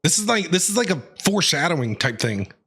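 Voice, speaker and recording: A male game streamer comments casually on his playthrough. His voice sits on the high side and moves around expressively. He is informal and reactive, tossing in jokey asides. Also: big pitch swings, fast talker, sharp tone, breathy edge, faint music behind.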